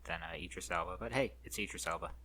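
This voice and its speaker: A man co-hosting a casual podcast, relaxed and hesitant, reading out news items with fillers. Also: sits low, quick pace, gravelly, slightly rough. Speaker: podcast co-host.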